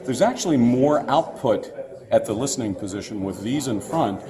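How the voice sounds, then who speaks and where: A man's voice: medium pitch, expressive, normal speed. A man representing a company gives an unscripted, technical product demonstration, often hesitating as he explains. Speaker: loudspeaker company representative presenting a product line. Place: indoor trade show floor. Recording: busy crowd noise around the voice. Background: crowd chatter.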